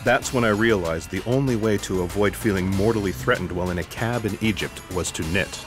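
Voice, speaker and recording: Man playing a low-voiced, unflappable companion. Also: fairly quick, music in the background, close to an everyday voice. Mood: calm, deadpan. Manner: composed, matter-of-fact. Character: calm, unflappable male travel companion who knits. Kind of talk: audio theatre acting.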